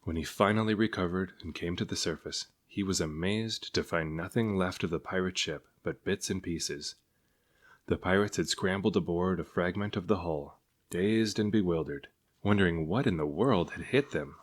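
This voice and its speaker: A man with a low-pitched voice narrates a children's picture book. He reads it aloud as scripted storytelling, his voice sitting well down in the male range. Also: moderate pace. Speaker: storybook reader.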